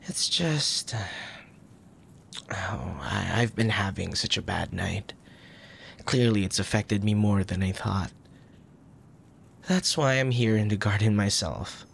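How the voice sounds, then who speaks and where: A man playing a soft, tender young crown prince in an intimate ASMR roleplay, speaking formally with a gentle, hesitant manner and a troubled air. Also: on the low side, slow pace, gravelly, long pauses, a little hiss or hum. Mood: apologetic, troubled. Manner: formal old-fashioned wording, gentle, hesitant.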